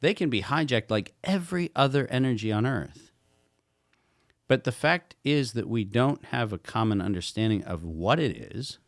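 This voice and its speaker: Man, mid-pitched, emphatic guest expert who throws out rhetorical questions; dry, close recording. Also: lively intonation, normal speed, no echo. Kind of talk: podcast conversation.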